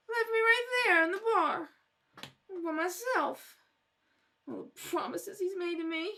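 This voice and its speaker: Woman acting a shrill, brash, self-pitying hard-drinking mother. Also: bright, forceful tone, exaggerated voice. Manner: slangy, brash, wailing, self-justifying. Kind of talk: old-time radio crime drama acting.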